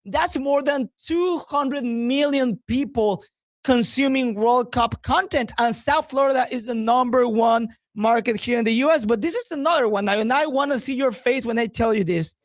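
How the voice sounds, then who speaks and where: A man's voice: very high-pitched, moderate pace, no echo. A man speaks as a guest on sports talk radio in a dry, close sound. He is emphatic and promotional, repeating key phrases to drive each point home. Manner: emphatic, builds points with repetition.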